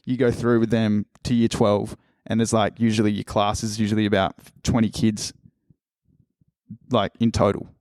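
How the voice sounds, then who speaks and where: A man's voice: lowish voice, level tone, normal speed, a little mellow, no echo. Casting: twenties male host, loose and sweary, dry close sound. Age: 20s. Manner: rambling, swears casually, lots of fillers. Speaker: podcast host. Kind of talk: casual interview chat.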